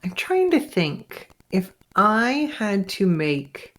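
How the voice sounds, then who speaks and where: A woman chatting casually and analytically, halting as she works out her ideas aloud. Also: mid-range voice, sing-song, fairly bright tone.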